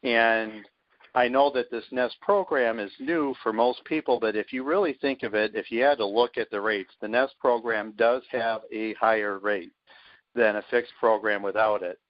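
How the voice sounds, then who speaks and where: A man's voice: mid-range voice, moderate pace, no room sound. Confident salesman on a dull phone line. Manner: emphatic, confident, punchy rhetorical questions. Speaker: sales team leader. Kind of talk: motivational sales pitch on a call.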